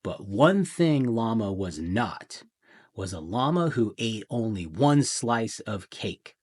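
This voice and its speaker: A man reading a children's picture book aloud in a theatrical way, with deliberate pauses and emphasis. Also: fairly low pitch, big pitch swings, slightly rough. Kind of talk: dramatic children's storybook narration.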